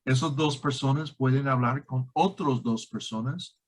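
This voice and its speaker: A man with a foreign accent who is not a native Spanish speaker, explaining as a guest expert in a halting, emphatic way with grammatical slips. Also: medium pitch. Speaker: guest expert on online scams. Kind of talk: explanatory conversation.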